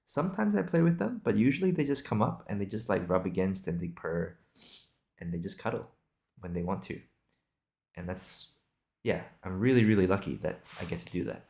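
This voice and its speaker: A young man with a deep voice and a lot of vocal fry talks casually to his podcast audience. He improvises as he goes, hesitating and circling back as he thinks out loud. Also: lively intonation, brisk. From Singapore and Australia. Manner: rambling, hesitant, thinking aloud. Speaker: video podcast host. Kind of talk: casual improvised solo chat.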